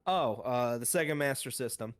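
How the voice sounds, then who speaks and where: A man's voice: mid-range voice, normal speed. Laid-back man with a New York accent. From Long Island, New York. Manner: laid-back, short easygoing answers. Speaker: interview guest. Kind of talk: casual online interview chat.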